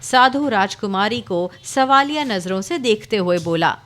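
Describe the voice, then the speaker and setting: A woman's voice: medium pitch, measured pace. Woman narrating a cartoon story. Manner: steady, storytelling.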